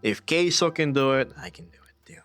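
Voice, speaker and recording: Soft-spoken man streamer. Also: medium pitch, animated, soft background music. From Philippines. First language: Tagalog. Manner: soft-spoken, drops into whispers, measured and dry. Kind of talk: casual chat with viewers.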